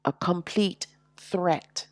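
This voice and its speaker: A woman teaching a slow, reflective sermon, sounding nasal and congested from allergies, with drawn-out, emphatic phrasing. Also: lowish voice, no room sound. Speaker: religious teacher.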